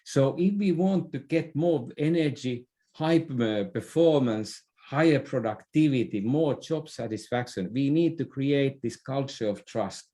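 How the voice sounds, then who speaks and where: A middle-aged man speaks as an interview guest. He offers advice in a conversational way, hesitating and doubling back over words as he builds each thought. His pitch sits in an ordinary middle range for a man. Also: measured pace, hardly any pauses, mellow. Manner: hesitant, repeating words as he goes. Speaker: podcast guest, business expert.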